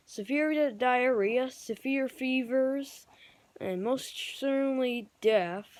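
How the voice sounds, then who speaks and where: A woman reading out homemade pretend news bulletins and emergency alerts in a halting, improvised way, with searching pauses. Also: on the high side, no echo. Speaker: amateur storyteller acting out a mock emergency broadcast.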